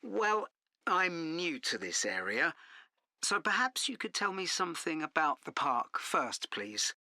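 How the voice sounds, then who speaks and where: A woman's voice: low-pitched, sing-song, unhurried, sharp tone, dry recording, studio quality, exaggerated voice. A woman playing a polite teaching assistant who asks questions in a scripted dialogue. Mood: polite, curious. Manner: polite, inquiring. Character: polite, inquiring female teaching assistant on a call. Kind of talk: scripted listening-test dialogue.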